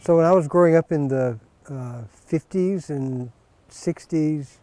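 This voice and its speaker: An older man from North Carolina, an organic vegetable farmer, talking slowly with many pauses in a documentary interview. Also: fairly high pitch, mellow, dry recording. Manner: slow, unhurried, with many pauses and fillers.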